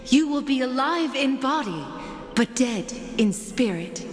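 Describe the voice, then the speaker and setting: A woman's voice: high voice, lively intonation, a little slow. A woman playing an imperious, merciless female judge in a video game, speaking in solemn, grandiose, archaic phrases with echoing reverb. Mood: stern, imperious. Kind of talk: scripted game voice acting, ceremonial monologue. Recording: echoey hall reverb under game music.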